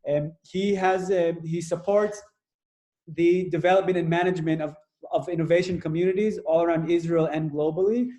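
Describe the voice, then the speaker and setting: Moderator: man, highish warm voice, halting delivery, video call. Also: steady tone. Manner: halting, many fillers while introducing. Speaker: webinar moderator. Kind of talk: moderating an online webinar.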